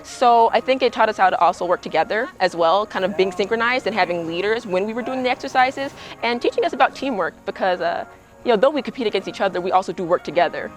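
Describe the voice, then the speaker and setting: A woman's voice: mid-range voice, lively intonation, fast, faint music behind, low-quality recording. A woman player chatting about a group workout, with lots of fillers. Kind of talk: documentary interview.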